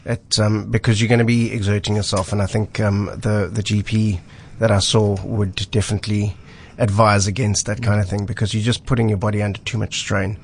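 Guy with a low husky sick voice, laid back, lots of ums, radio studio. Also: a little fast, few pauses, a little hiss or hum. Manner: relaxed, frequent ums and repeated words. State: husky voice from illness. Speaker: mountain guide, weekly radio guest. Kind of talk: casual radio chat.

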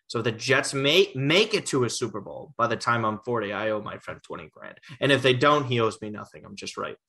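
A man's voice: mid-range voice, animated, brisk, gravelly, bright, forceful tone. A man in his twenties hosting a casual sports podcast, talking loosely with frequent restarts. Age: 20s. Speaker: podcast host.

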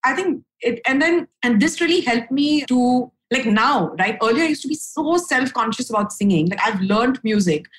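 A young adult woman from India, a podcast guest speaking frankly and upbeat, with a low voice for a woman and casual fillers. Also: expressive, normal speed, hardly any pauses, poor audio. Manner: frank, upbeat, lots of fillers and "right?" tags. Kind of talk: candid conversational storytelling.

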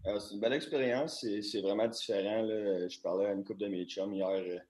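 A young man with a Québécois French accent, a professional hockey player answering interview questions in a relaxed, colloquial way. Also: fairly low pitch, a little fast. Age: young adult.

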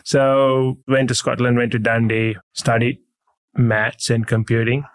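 A man from Sri Lanka telling his career story in a relaxed, matter-of-fact way as an interview guest. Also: mid-range voice, unhurried, clear, resonant tone. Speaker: guest, head of data. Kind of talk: casual interview chat.

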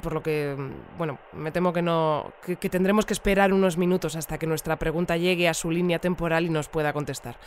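A woman playing a composed presenter of a futuristic community radio bulletin, speaking in a formal, measured newsreader manner. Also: low-pitched, fairly even intonation, slight background noise, lightly acted. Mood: awkward, stalling. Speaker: news presenter. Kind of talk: scripted radio news bulletin.

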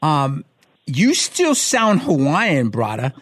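Upbeat pastor hosting a talk show. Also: male voice, a little high, wildly swooping pitch, slow, slightly rough, no room sound. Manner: upbeat, enthusiastic. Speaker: host, pastor. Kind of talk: radio talk show chat.

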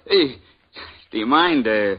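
An actor playing a jovial, talkative frontier blacksmith with a folksy drawl in a 1950s radio drama. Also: male voice, medium pitch, animated, slow pace. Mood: curious. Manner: folksy drawl, chuckling, nosy. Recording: dull old radio broadcast.

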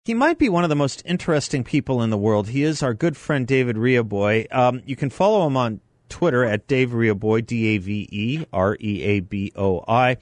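A man with a mid-pitched voice hosts a talk radio show in a conversational interview style. The sound is dry and close, as if on a studio microphone. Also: expressive, moderate pace, hardly any pauses, no room sound.

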